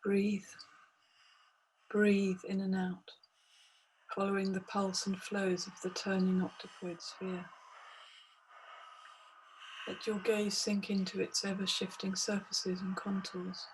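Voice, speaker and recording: A woman leading a guided meditation in a measured, hypnotic way, with deliberate phrasing and pauses. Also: mid-range voice, monotone, a little hiss or hum, low-quality recording. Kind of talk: guided meditation, scripted narration.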